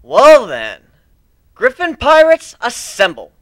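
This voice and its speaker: Man as a forceful, cocky griffin pirate captain. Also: extremely high pitch, huge pitch swings, slow pace, no room sound, voice pushed up, exaggerated voice. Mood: commanding. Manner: boastful, commanding, emphatic.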